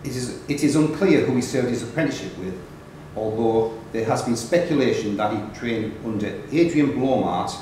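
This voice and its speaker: A man's voice: medium pitch, moderate pace, few pauses, mellow. Male quizmaster reading lengthy questions with occasional stumbles; distant, very reverberant recording with faint noise. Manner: stumbles and corrects himself while reading. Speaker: quiz question reader. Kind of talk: reading long quiz questions aloud at a live event. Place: echoey indoor pub function room. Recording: very echoey noisy room, distant mic.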